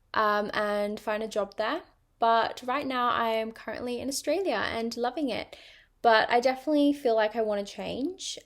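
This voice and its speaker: Chatty young woman with a British-tinged accent. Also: medium pitch. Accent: mixed British and international. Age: young adult. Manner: chatty, many ums and likes, rambling. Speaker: podcast host. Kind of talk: casual personal monologue.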